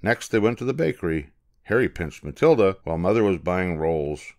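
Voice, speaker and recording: Older male storybook narrator, deep register, reading for children. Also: expressive, fairly quick, a little mellow.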